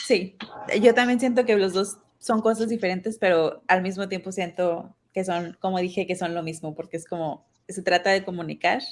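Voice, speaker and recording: Lively woman chatting on a panel. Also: lowish voice, slight background noise. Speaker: illustrator panelist and moderator. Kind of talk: casual panel chat.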